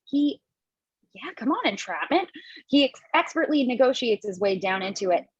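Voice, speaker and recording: A woman's voice: a little high, animated, moderate pace, breathy edge, dry recording, lo-fi sound. A woman reading stage directions aloud in a table read, plainly, with casual asides.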